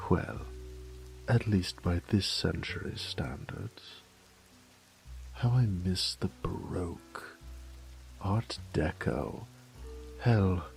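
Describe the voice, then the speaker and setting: A man's voice: sits low, vocal fry, darkish tone, heavily acted, rough recording. A man playing an ancient, haughty, predatory vampire lord in an audio drama, with a pompous, condescending, theatrical drawl and whispered asides. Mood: smug, seductive. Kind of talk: scripted roleplay audio drama.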